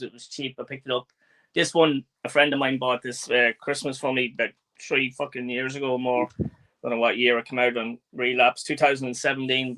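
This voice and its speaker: A man in his forties talks bluntly about records on a home video call, swearing as he goes. His voice is bright and forward, at an ordinary pitch. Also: low-quality recording. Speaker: host. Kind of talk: casual chat. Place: home room with shelves of films. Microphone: webcam video call.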